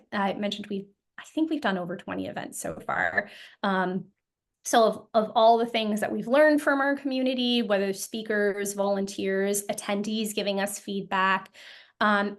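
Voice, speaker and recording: Woman guest, mid-pitched voice, thoughtful and hesitant, lots of ums and restarts. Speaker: guest, founder of an occupational therapy group. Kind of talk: casual interview talk.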